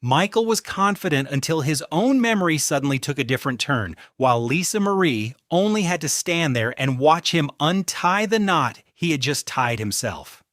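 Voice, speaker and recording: A man narrates a scripted video in a fairly high voice with very lively intonation. The delivery is measured storytelling, recorded close and dry. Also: no room sound. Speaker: narrator of a celebrity compilation video.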